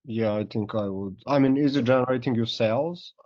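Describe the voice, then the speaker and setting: A man speaks as an in a casual coaching call, hoarse and running low on voice. His explanations wander with "like" and "uh". The video-call sound is dry and dull. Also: fairly quick, no room sound. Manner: loose, filler-heavy explaining. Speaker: coach giving feedback.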